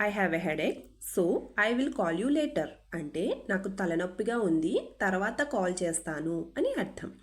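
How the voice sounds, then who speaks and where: A young woman with a Telugu accent teaching English, reading each sentence slowly and deliberately, then explaining it. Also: medium pitch. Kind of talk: language lesson narration.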